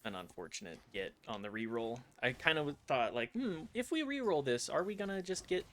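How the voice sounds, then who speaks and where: A man's voice: mid-range voice, very animated, a little fast, a bit bright. A young man streaming and commenting on a game in a playful, chatty, rambling way. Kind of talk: gameplay commentary.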